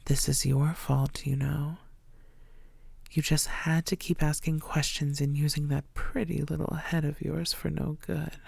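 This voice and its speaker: Female vampire, played by a woman, intimate roleplay audio. Lowish-key menace, flirtatious and possessive, gravelly fry, very dry close sound, breathy half-whispers. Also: level tone, darkish tone, dry recording, full character voice. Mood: menacing, coaxing. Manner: teasing, breathy half-whispers, slow deliberate delivery.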